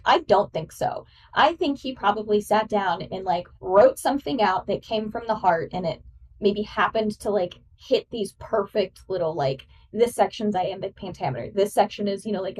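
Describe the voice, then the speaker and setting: A woman's voice: mid-range voice, moderate pace, a little mellow, recorded from a distance, low-quality recording. A woman guest-hosting a podcast, explaining verse and meter with eager, nerdy enthusiasm. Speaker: guest host. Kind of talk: podcast discussion.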